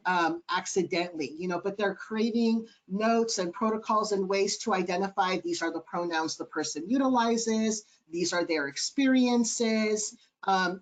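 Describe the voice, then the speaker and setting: A man with a light, high-sounding voice, answering webinar questions earnestly with many ums over a video call. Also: rough recording. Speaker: webinar panelist.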